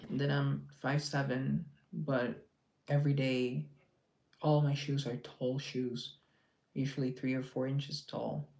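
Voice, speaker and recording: A man's voice: medium pitch, flat delivery, slow pace, vocal fry, a little mellow. A man hosting a casual social media live, reading out viewer comments and answering them in a teasing, joking, chatty way over a phone mic. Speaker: live-stream host.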